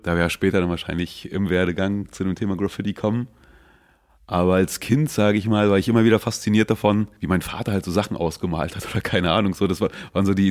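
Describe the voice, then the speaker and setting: A man in his forties from northeast Germany talks about his art in a relaxed interview. His voice sits very low, and his answers run loose and easygoing, full of casual filler words. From Mecklenburg, northeast Germany. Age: 40s. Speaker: graffiti artist guest. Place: seated indoors in a city.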